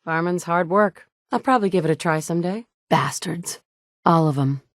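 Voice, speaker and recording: A woman's voice: fairly low pitch, normal speed, no room sound, clean studio sound, natural voice. An actress playing a determined, guarded woman survivor in a video game, speaking in a clipped, matter-of-fact way. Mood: scornful. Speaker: voice actor for a video game player character. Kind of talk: video game dialogue lines.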